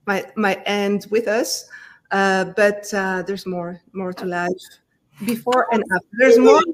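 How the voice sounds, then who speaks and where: A middle-aged Belgian woman speaking English with a French accent. She talks in a hesitant, searching way, with many pauses and restarted phrases. Her voice sits at a moderate pitch. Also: fairly even intonation. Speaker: interview guest. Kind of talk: casual conversational interview.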